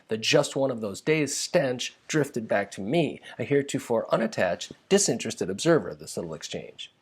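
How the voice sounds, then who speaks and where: A man's voice: medium pitch, breathy edge. A man reads his own humorous first-person essay in a wry, conversational, self-deprecating way.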